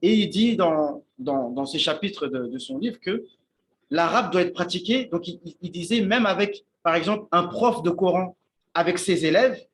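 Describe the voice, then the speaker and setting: A man's voice: high voice, slight background noise. A man giving an informal religious lesson at a shared meal with friends, thinking aloud with many restarts and often checking in with his listeners. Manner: halting, thinks aloud, repeats and restarts words; checks in with listeners, asks "d'accord?". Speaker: Islamic teacher giving a talk on Arabic.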